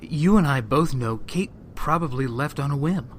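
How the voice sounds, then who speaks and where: An actor playing a glowering, defensive police lieutenant in an audio drama, with a brusque, dismissive manner. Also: male voice, on the high side, animated, moderate pace, slight background noise, close to an everyday voice. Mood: dismissive, menacing.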